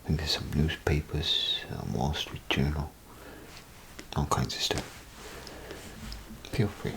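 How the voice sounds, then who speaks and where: A man speaking in a hushed, half-whispered, casual way in a soft-spoken ASMR role play as an attendant. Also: extremely low pitch, steady tone, slow pace, gravelly, a little hiss or hum, rough recording. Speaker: ASMR performer playing a bathroom attendant.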